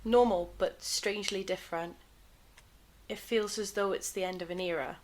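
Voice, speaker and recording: A woman's voice: medium pitch, fairly even intonation, normal speed, close to an everyday voice. An actress plays a woman from a 1930s television studio in an audio drama. She sounds wistful and uncertain, speaking pensively in a mid-range voice.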